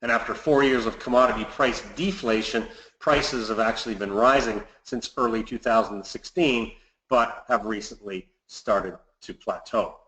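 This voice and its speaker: Guy doing a scripted finance webinar update, financial advisor type, mid pitch, pretty echoey room. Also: normal speed, fairly bright tone. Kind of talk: scripted market commentary presentation. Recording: roomy, echoey sound.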